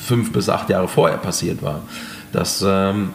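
Deep voiced german chef guy, lots of umms, bit of background hiss. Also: measured pace, creaky. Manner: hesitant, many fillers. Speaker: chef, restaurant owner. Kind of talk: casual interview talk.

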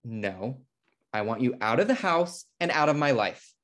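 A man's voice: medium pitch, expressive, a little slow, natural voice. A man playing an uptight, exasperated twin brother, indignant and earnest, in a sitcom table read on a video call. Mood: fed up. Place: indoor room at home on video call.

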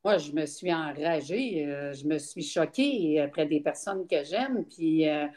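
A woman's voice: deep voice, lively intonation, measured pace, a little mellow. An older woman with a Quebec French accent, speaking frankly on a video call, with many fillers. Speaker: interview guest. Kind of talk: casual testimony. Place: home room, indoors. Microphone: laptop webcam on video call.

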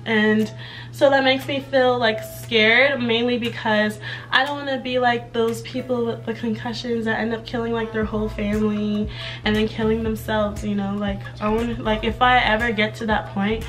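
A young woman chatting casually in a vlog update, a bit hoarse from laryngitis and rambling while she does her hair in her bedroom. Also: on the high side, little pitch movement, normal speed, a bit bright, soft background music. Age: young adult. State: slightly hoarse from recent laryngitis. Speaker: vlogger. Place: bedroom with clothes rack, indoors.

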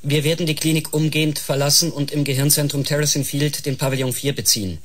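Cold commanding male captain, highish voice acted. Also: fairly even intonation, fast, a bit bright, close to an everyday voice. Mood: cold, threatening. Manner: clipped, imperious. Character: cold, commanding spaceship captain issuing ultimatums. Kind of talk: German science-fiction radio drama acting.

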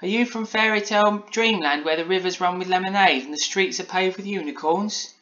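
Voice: medium pitch, expressive, normal speed, sharp tone, lo-fi sound, voice pushed up. A storybook reader voicing an earnest, wistful nine-year-old girl who exclaims and is easily amazed. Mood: wonder.

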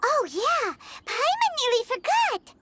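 A woman voicing a tiny, childlike fairy companion in a video game, squeaky, earnest and excitable, with expressive delivery. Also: measured pace, heavily acted. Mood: curious, earnest. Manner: refers to self by name, expressive. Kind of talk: video game character dialogue.

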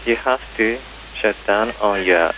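A man working as an air traffic controller, giving procedural instructions haltingly over aviation radio. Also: lowish voice. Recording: narrowband radio transmission with hiss.

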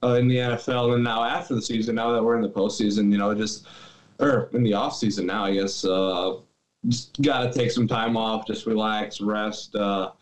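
A young man from Greece, a guest on a podcast, talking in a relaxed way with lots of fillers over a video call. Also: lowish voice, normal speed, echoey room, poor audio. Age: young adult. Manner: relaxed, many fillers and restarts. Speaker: guest athlete. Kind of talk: casual interview chat. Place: small room at home.

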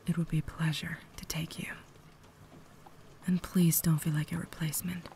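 A woman playing a cultured, caring vampire lady in an intimate ASMR roleplay, whispering softly with refined, gentle phrasing. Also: low voice, flat delivery, normal speed. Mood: inviting, cultured, then gentle, reassuring. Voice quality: soft, breathy whisper. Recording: noticeable background ambience noise.

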